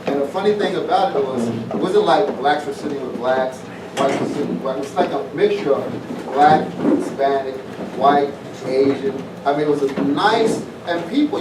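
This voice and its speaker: A man's voice: on the high side, normal speed. A middle-aged man from southwest Virginia, giving a plainspoken, informal speech to an audience at an evening community event. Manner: folksy, plainspoken, repeats phrases. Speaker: former pro football player announcing a festival. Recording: roomy, distant mic, noisy event.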